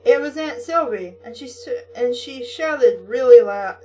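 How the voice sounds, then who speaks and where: A woman's voice: fairly high pitch, lively intonation, unhurried, warm tone, recorded from a distance, rough recording. A woman reading a story aloud and breaking off into sarcastic, sweary, exasperated casual commentary. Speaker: reader and commentator.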